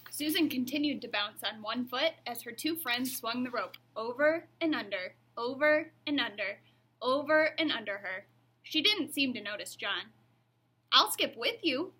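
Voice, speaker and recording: A woman reads a children's story aloud in a high voice. It is bright, with a husky grain. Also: animated, moderate pace. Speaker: reader.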